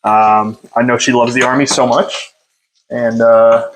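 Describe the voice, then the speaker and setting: A man's voice: medium pitch, level tone, measured pace, slight background noise, low-quality recording. A young man hosting a podcast from home, talking off the cuff into a phone on a stand, rambling and self-deprecating, with lots of fillers. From United States. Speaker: podcast host. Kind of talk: unscripted casual monologue.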